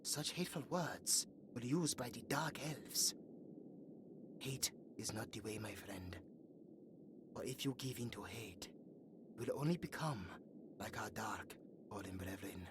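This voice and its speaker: A woman playing a young, earnest and chatty elven guard in a fantasy audio roleplay, musing aloud in a soft, hesitant way. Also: very deep voice, very animated, a little slow, long pauses, noisy background, exaggerated voice. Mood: gentle, solemn.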